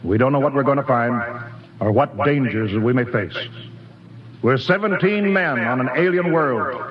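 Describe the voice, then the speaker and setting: An actor playing a calm, commanding male rocket ship captain in a 1950s radio drama, giving steady orders. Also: medium pitch, moderate pace, crowd noise, natural voice. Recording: dull lo-fi 1950s radio recording.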